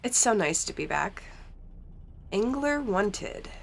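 A woman's voice: mid-range voice, very animated, slow, vocal fry, slight background noise. A young woman with a stuffy nose from a cold, chatting casually as she plays a game in her basement and reads its text aloud. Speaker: gaming channel host. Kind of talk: casual let's-play commentary. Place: cold basement in front of a green screen. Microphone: desk mic while wearing headphones.

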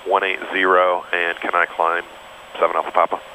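Low-voiced male pilot over cockpit radio. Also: monotone, fairly quick. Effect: cockpit radio intercom. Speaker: private pilot. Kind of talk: clipped aviation radio calls. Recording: noisy aircraft cockpit radio audio. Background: airplane engine drone.

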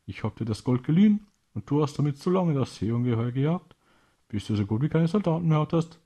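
A man's voice: fairly high pitch, expressive, fairly quick, dark tone, slightly rough, voice pushed up. A man voicing a crazed, gloating pirate usurper in a theatrical way for a children's story. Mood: gloating, triumphant.

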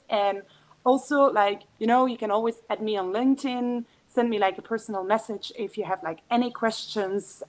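A woman's voice: mid-range voice, poor audio. A woman in her twenties speaking casually on a video call as a former student, with frequent "like" fillers. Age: 20s. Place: bedroom at home.

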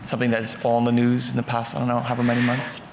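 A man with a loud voice commenting casually from the audience at a talk. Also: mid-range voice, completely flat delivery, very fast, vocal fry. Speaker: audience member. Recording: roomy hall pickup, faint noise.

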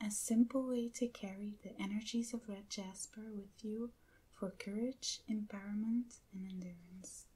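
A woman who is not a native English speaker, softly half-whispering an ASMR unboxing in a gentle, hushed, breathy voice. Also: medium pitch, steady tone, moderate pace, low-quality recording. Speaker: ASMR video creator. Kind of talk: soft-spoken ASMR unboxing.

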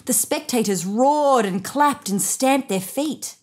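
A woman in her thirties reading a picture book aloud to children in an expressive, measured way. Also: high voice, slightly rough. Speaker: storybook reader. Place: indoors, seated on a sofa.

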